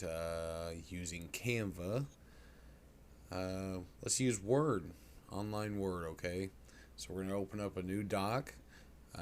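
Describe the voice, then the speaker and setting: A man presenting a casual software tutorial in a folksy, informal way. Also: low-pitched. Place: indoors at a computer. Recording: clear background noise.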